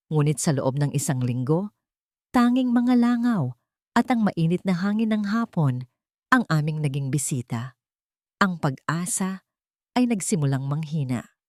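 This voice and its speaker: Woman, Filipino accent, low pitch, first-person story narrator, deliberate phrasing, dry sound. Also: a little mellow, no room sound.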